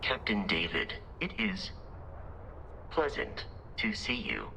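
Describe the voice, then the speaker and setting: A stiff, formal robot crewmate in a sci-fi game. The voice is slow and gravelly, with music behind it. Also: male voice, fairly low pitch, wildly swooping pitch, heavily acted, rough recording. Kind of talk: video game dialogue.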